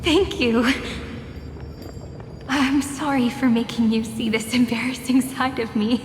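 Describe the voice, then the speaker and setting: A woman playing a gentle, wistful young storyteller in a video game, speaking in a reflective, intimate way. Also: on the high side, fairly even intonation, unhurried, close to an everyday voice. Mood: moved, grateful. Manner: soft-hearted, reflective, intimate. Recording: echoey, long reverb, music behind. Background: music.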